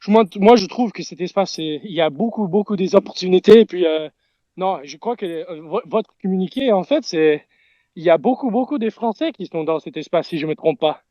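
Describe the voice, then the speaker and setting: A man's voice: sits high, lively intonation, brisk, dry recording, muffled recording. A Canadian man, a native English speaker, chatting in French with a noticeable English accent, hesitating as he searches for words. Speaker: guest on an audio space. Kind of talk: casual chat.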